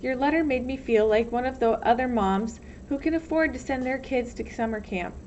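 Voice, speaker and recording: A woman's voice: a little high, normal speed, a little mellow, pitched above the performer's own voice, natural voice. A woman reading as an affectionate, hopeful mother in a storybook, tender and encouraging. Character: affectionate, hopeful mother writing to her son. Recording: dull lo-fi sound, faint noise.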